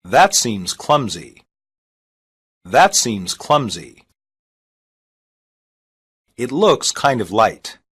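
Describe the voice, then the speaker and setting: A reader saying short English practice sentences slowly and evenly, each one twice, for a language lesson. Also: medium pitch, big pitch swings, a bit bright, husky. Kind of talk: slow repeated phrase reading.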